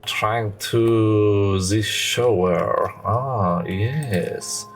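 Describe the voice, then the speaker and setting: Male game streamer, unhurried and gravelly, chatty with his viewers, faint music behind. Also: lowish voice. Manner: rambling, self-correcting, chatty with viewers. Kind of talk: casual live gameplay commentary. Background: faint music and a child playing nearby.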